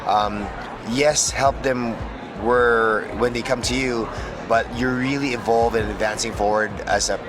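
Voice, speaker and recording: A male podcast host interviewing a guest at a conference booth, effusive and enthusiastic, filling pauses with "mm" and laughs. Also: mid-range voice, steady tone, over music. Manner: effusive, frequent "mm" affirmations and laughs.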